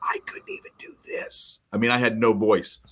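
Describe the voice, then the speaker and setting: A middle-aged man co-hosting a mortgage podcast, talking in an energetic, emphatic storytelling way. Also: on the low side, moderate pace, in a whisper. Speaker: podcast co-host, mortgage professional. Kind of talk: casual chat.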